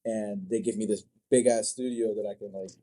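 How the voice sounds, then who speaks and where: A man's voice: lowish voice, fairly even intonation, brisk, mellow, poor audio. A man from Senegal chats casually as an interview guest, agreeable and slangy, often repeating short affirmations. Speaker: guest, manga creator. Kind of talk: casual chat. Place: own art studio.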